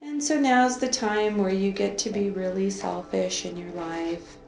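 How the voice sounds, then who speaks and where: A woman leading an unscripted guided meditation in a soothing, drawn-out way, gently repeating phrases. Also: mid-range voice, fairly even intonation, gravelly. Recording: roomy echo, faint music underneath.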